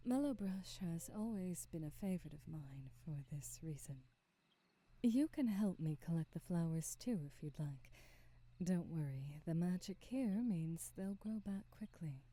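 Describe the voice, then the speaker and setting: A kindly witch acted by a woman speaks low and very slowly in an intimate ASMR roleplay. Her voice is deeply mellow and soft, slipping into breathy half-whispers. She chooses formal, old-fashioned words with tender, wistful care. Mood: tender, encouraging. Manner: slow, gentle, formal old-fashioned phrasing.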